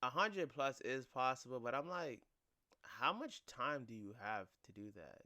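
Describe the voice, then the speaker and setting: A man's voice: medium pitch, sing-song, a little slow, bright, forceful tone. A man hosting a reaction video, giving blunt, profane and incredulous casual commentary.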